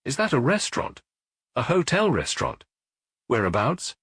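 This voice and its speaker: Man as a formal, insistent police inspector, raised pitch. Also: huge pitch swings, moderate pace, a bit bright, husky, no echo, studio quality, exaggerated voice. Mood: probing. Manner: polite but insistent, official questioning. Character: formal, persistent male police inspector questioning witnesses.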